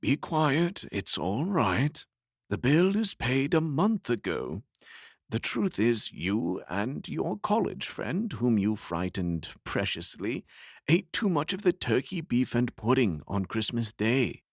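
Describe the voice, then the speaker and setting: A man voicing a calm male doctor reassuring a patient, in a raised voice. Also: medium pitch, slow pace, no room sound, clean studio sound, pitched above the performer's own voice, full character voice. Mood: soothing.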